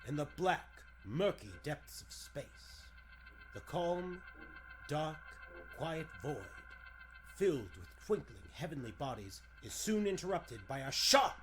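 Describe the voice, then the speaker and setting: A man's voice: a little high, low-quality recording. A man announcing and narrating in the emphatic, theatrical style of a 1940s radio show.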